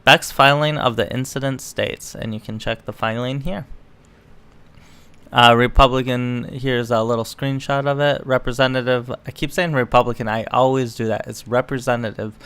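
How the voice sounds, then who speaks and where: A man's voice: mid-range voice, fairly even intonation, moderate pace, fairly bright tone, clear, resonant tone. A man reading news articles aloud and adding casual, sarcastic, opinionated commentary as an online political commentator. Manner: sarcastic, mocking.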